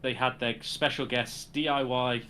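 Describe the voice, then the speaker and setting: A young man from the UK chats casually as a podcast co-host, laughing often. His voice is bright and forward. A faint hiss sits under the recording. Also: medium pitch, fairly even intonation, unhurried. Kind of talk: casual wrestling chat.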